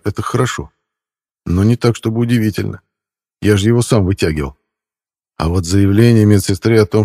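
A man's voice: on the low side, dark tone, breathy edge, no echo, clean studio sound. A man narrating an audiobook in the first person with a wry, ironic storytelling manner.

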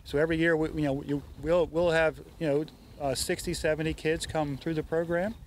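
Older man with halting, higher voice. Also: level tone. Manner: halting, hesitant phrasing. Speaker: yacht club commodore, interview guest. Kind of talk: unscripted interview answers. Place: outdoors on a waterfront dock. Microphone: interviewer's handheld microphone. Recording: outdoor sound, faint boat-side noise.

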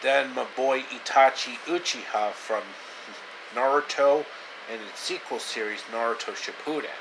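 Slow, halting man telling stories over background noise. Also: mid-range voice. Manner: halting, repeats phrases. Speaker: amateur storyteller. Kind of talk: casual improvised storytelling to camera. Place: small indoor room, reclining. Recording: clear air conditioner noise.

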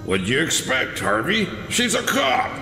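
Echoing hall, man acting scornful older lawman, gravelly, swooping delivery, audio drama. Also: a little high, huge pitch swings, unhurried, pushed and bright, exaggerated voice. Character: scornful older police commissioner held hostage. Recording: echoey hall-like reverb, music.

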